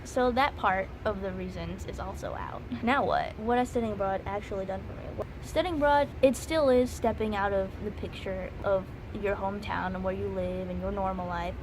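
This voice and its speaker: A woman's voice: mid-range voice, moderate pace, few pauses. Young American woman, casual rambling vlog, noisy recording. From United States. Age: 20s. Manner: informal, rambling, self-aware. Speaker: study abroad student vlogger. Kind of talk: casual reflective chat to camera. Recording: clearly noisy recording with ambient background.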